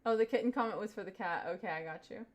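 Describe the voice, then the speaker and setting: A woman's voice: mid-range voice, fast, highs cut off. A young woman from Kentucky with a light Southern US accent, live-streaming, chatting casually, and thanking her viewers over and over. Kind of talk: casual chat reading viewer comments.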